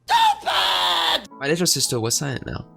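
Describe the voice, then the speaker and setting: A man's voice: fairly high pitch, huge pitch swings, moderate pace, creaky, sharp tone, shouting, some chatter behind. A man gaming on video, reading the game's text aloud and chatting with fillers. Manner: reads game text aloud, rambling with fillers. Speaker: gaming YouTuber. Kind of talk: game playthrough narration and chat.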